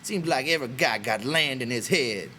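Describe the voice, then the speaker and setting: A man voicing a bitter, proud American stable hand who talks scornfully and forcefully, in a dramatic audiobook style. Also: mid-range voice, lively intonation, normal speed, a little hiss or hum, voice pushed up. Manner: scornful, brutal, forceful rural American talk.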